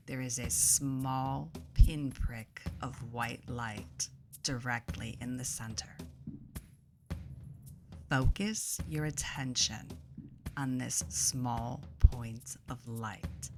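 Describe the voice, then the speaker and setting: A woman leading a guided meditation in a soothing, deliberate way, pausing between phrases, her soft voice turning breathy as phrases end. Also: a bass voice. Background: instrumental music.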